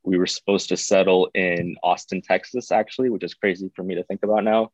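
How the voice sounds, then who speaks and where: A man, talking as an interview guest in an unhurried way with frequent filler sounds. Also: sits low, fairly even intonation, no echo. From born in Baku, raised in the United States. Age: 30s. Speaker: podcast guest. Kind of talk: casual interview. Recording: dull, dry sound.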